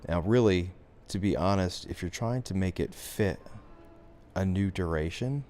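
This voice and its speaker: A man giving a software tutorial as instructor, talking through the steps in an informal, conversational way. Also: sits low, measured pace, a little hiss or hum.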